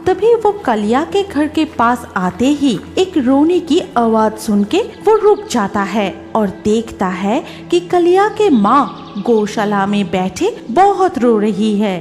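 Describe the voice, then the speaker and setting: Female horror narrator, fairly high voice, unhurried drawn-out phrasing, dramatic, cartoon storytelling, soft music underneath.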